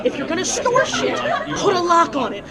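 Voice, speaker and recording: A woman's voice: very high voice, huge pitch swings, moderate pace, over a noisy crowd. A woman hosting, ranting in a casual, comedic way, swearing and mock-lecturing in exasperation. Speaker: host.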